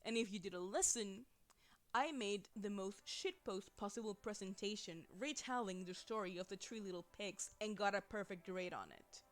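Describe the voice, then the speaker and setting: A woman's voice: mid-range voice, normal speed. A young woman whose first language is Spanish, chatting casually on a livestream, rambling and laughing often into a desk microphone. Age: young adult. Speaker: streamer.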